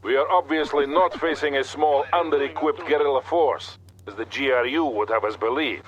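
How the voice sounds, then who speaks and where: An actor playing a stern, composed Soviet army colonel with a Russian accent, giving measured orders in a military video game. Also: male voice, mid-range voice, highs cut off, natural voice. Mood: authoritative. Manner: authoritative, measured, commanding. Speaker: military commander character. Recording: battle gunfire noise behind voice. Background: gunfire, artillery and vehicles.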